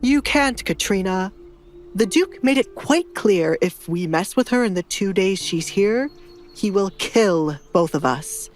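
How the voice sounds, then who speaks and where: A woman's voice: mid-range voice, animated, unhurried, soft background music, natural voice. A woman voicing a stern, scheming middle-aged noble father with a grave, warning manner in an audiobook drama. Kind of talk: audiobook drama character acting.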